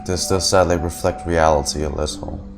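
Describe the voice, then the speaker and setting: Deep-voiced man reacting to a game. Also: fairly even intonation, normal speed, vocal fry. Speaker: gaming streamer reacting to a story. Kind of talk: casual live reaction commentary. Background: game music.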